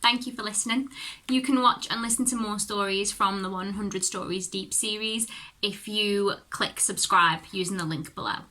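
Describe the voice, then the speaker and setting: Bright-voiced woman chatting reflectively. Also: mid-range voice, steady tone, normal speed. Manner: hesitant, thinking aloud. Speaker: performer reflecting on a story. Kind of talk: casual reflective talk to viewers.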